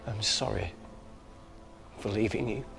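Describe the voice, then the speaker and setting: Low-voiced, wry doomed lab worker. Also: male voice, unhurried, vocal fry. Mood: regretful. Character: wry, self-sacrificing infected male lab colleague in desperate escape. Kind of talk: live-action film drama acting. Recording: background music behind the dialogue.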